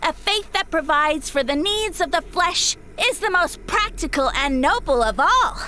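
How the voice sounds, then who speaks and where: A woman's voice: very high-pitched, animated, measured pace, bright, forceful tone, a little hiss or hum, exaggerated voice. A woman voicing a boastful male mushroom spirit who calls himself a savior, grand and evasive in a video game. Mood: grandiose. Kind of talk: game voice acting.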